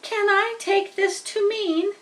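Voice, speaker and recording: A woman voicing a gentle young male pig in a storybook, tenderhearted, with a polite, heartfelt and rather formal manner. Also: very high voice, steady tone, slow, distant mic, voice pushed up. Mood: hopeful, eager.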